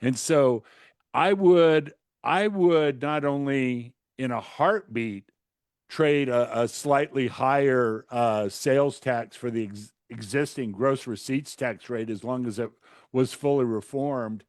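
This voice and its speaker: Male co-host, medium register, conversational commentary with halting phrasing, recorded very dry with no room sound. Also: medium pitch, no room sound. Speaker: podcast co-host, businessman and consultant.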